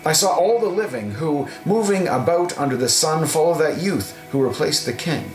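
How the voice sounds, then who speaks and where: Guy reading bible audiobook, solemn and measured, mid pitch, echoey room w music under it. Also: lively intonation. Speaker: audiobook narrator. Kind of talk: scripted scripture reading. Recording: roomy echo, music underneath.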